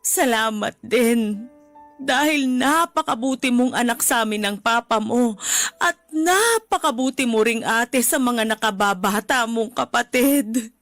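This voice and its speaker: Loving, higher-voiced Filipina mother. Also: a little slow, fairly bright tone, dry recording, soft background music. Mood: tender encouragement. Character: loving widowed Filipina mother, gently teasing and encouraging. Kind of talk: radio drama acting.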